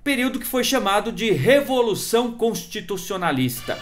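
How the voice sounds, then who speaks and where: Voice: mid-range voice, very animated, normal speed, faint music behind. A presenter narrating history informally, with chatty, emphatic asides to the viewer. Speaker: video presenter.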